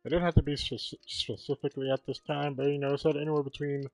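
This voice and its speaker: A man's voice: on the high side, flat delivery, fast talker, darkish tone, no room sound, soft background music. A man livestreaming a game, musing aloud in a casual, rambling way. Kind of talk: casual live gameplay commentary.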